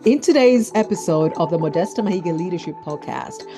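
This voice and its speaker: A woman's voice: fairly low pitch, expressive, fairly quick, over music. A woman hosting a podcast, chatting enthusiastically with her guest and often restarting her sentences. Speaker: podcast host. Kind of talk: interview podcast chat.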